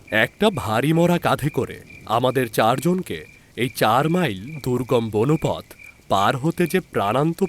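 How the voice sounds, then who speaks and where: Slow, deliberate male storyteller. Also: medium pitch, slight background noise. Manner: measured, deliberate storytelling with pauses. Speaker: story narrator and channel host. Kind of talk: dramatic scripted narration of a horror story.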